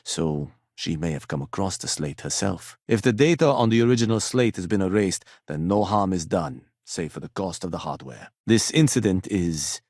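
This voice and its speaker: A man's voice: deep voice, lively intonation, moderate pace, hardly any pauses, darkish tone, no echo. A man voicing a fussy, punctual corporate office worker in a video game, speaking precisely and formally with dry condescension. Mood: dry disdain.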